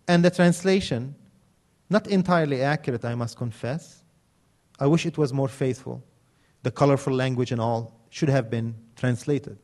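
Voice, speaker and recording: A man's voice: fairly high pitch, darkish tone. A middle-aged man lecturing in an emphatic, ironic way, building his points in rhythmic lists. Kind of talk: critical commentary on film and culture.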